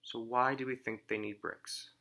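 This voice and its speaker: A man reading a story aloud as a teacher, phrasing it deliberately and simply for children and pausing to ask comprehension questions. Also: mid-range voice, little pitch movement, pushed and bright.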